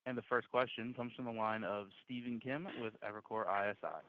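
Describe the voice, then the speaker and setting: A man's voice: on the low side, steady tone, brisk, dry recording. A man working as a conference call operator, formally reading out scripted procedural instructions. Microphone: conference call line. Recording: narrow telephone line.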